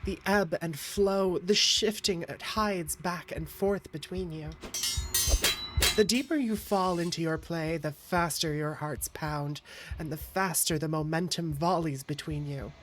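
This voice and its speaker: A woman's voice: low voice, unhurried, background noise. A woman streaming a game, reading visual novel narration aloud and chatting casually with viewers. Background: game music.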